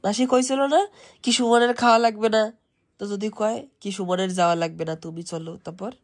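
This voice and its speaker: A man's voice: high-pitched, steady tone, normal speed. A young man with an East Bengali accent, chatting casually with family as a home vlogger.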